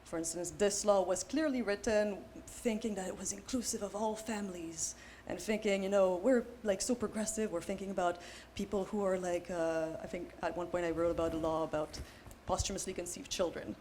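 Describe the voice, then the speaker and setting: A young woman on a panel, talking in an animated, casual way with many fillers. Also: medium pitch, a little fast. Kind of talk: panel discussion. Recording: faint background noise.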